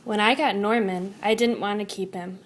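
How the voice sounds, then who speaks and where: A woman narrating a children's picture book in an expressive, animated storytelling manner. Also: medium pitch, normal speed, slight background noise. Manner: animated, acts out dialogue and sound words. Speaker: storybook reader.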